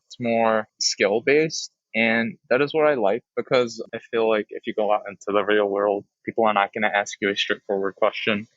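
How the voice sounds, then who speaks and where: Low-voiced young man, dull dry recording. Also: fairly bright tone, dry recording. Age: late teens. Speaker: student guest. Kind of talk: informal explanatory monologue.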